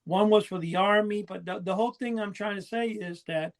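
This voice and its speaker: Older man with a high-pitched voice. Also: brisk. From Cuba. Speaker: podcast host. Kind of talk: casual conversational storytelling.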